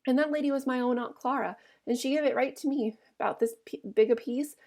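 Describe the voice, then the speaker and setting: A woman's voice: high-pitched, a little fast, darkish tone, pitched above the performer's own voice. Woman reading as a big childlike farmhand man, fretful. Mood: happy, wistful. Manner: uneducated rural American dialect, pleading.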